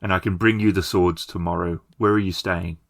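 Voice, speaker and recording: Tabletop game role: practical, placating male villager, acted by a man, low-pitched, close recording. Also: fairly even intonation, a little fast, vocal fry, fairly bright tone, no echo. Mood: reasonable, placating. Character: pragmatic, money-minded village brother, persuasive and respectful.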